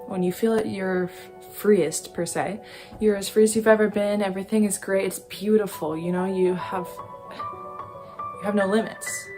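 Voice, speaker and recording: A teenage girl with a fairly low voice for her age explains the meaning of her own artwork. She talks thoughtfully, often pausing to hunt for the right word. Music plays clearly in the background. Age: teens. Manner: thoughtful, searching for words. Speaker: student artist.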